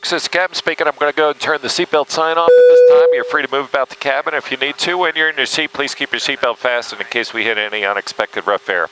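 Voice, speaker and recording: A man speaking as an airline captain, making halting announcements over an aircraft cabin PA. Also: medium pitch, low-quality recording. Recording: aircraft cabin PA, engine noise.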